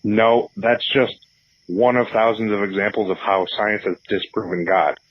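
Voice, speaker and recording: A man's voice: fairly low pitch, level tone, unhurried, dry recording. A man playing a cocky, crude, argumentative atheist caller on a comedy radio show, sneering and dismissive. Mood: smug arguing. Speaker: phone-in caller. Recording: slightly dull phone-in sound.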